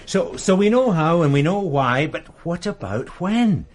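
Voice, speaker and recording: An actor playing a deferential but sceptical junior detective in a radio mystery drama, practical and questioning. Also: male voice, on the high side, sing-song, a little hiss or hum. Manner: respectful, questioning, measured scepticism.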